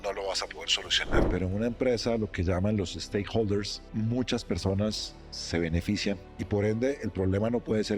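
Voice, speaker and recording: A middle-aged man, a company president, telling his story in a measured, reflective way during an interview in his office. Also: deep voice, big-room sound. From Costa Rica, raised in Guatemala and Colombia.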